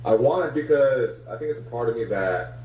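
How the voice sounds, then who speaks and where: Low mellow comedian guy musing casually, roomy echo, faint hum. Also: lively intonation, a little fast. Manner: reflective, self-analytical, many fillers. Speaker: comedian guest. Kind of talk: casual interview chat. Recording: echoey room, distant mic, faint hum.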